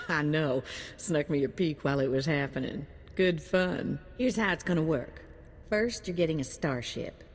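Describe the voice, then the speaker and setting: A brash, folksy handler of bounty hunters from a video game. Her voice is fairly low and raspy, with a cheeky, streetwise manner. It rings in a reverberant space. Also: a little slow. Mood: chummy, instructive. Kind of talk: scripted game character acting. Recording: long hall reverb.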